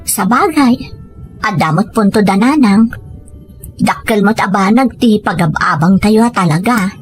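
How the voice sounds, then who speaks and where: A woman's voice: mid-range voice, lively intonation, measured pace, husky. A woman with an Ilocano Filipino accent playing a reluctant, resigned young wife in an audio drama. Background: background music.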